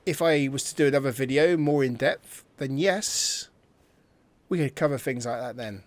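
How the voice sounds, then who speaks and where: A man's voice: mid-range voice, moderate pace, no room sound. A man hosting a gameplay video, thinking aloud in informal, casual commentary.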